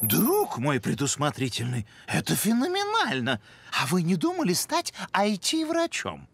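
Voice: medium pitch, huge pitch swings, a little slow, creaky, breathy edge, exaggerated voice. An actor voicing a learned, theatrical mentor in an educational cartoon, with a pompous and enthusiastic manner. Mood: eager explaining.

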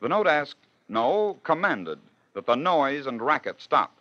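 A man's voice: medium pitch, sing-song, unhurried, sharp tone. A man hosting and narrating in scripted 1940s radio style, with a rhetorical storytelling manner. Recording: dull old radio broadcast.

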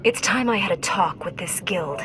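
A woman's voice: medium pitch, lively intonation, moderate pace, over music, close to an everyday voice. A young woman playing a resolute female knight in a video game cutscene, speaking in a firm, formal, composed way. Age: young adult.

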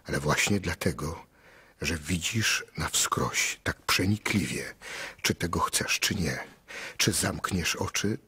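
A man's voice: sits low, sharp tone, breathy edge, exaggerated voice. An actor playing an earnest, troubled male storyteller in a radio drama, speaking intimately and pleadingly.

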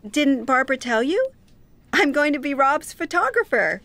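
A woman's voice: sits high, very animated, normal speed, gravelly, bright, forceful tone, no room sound, exaggerated voice. A woman reading a scripted coursebook dialogue as an upbeat, chatty photographer.

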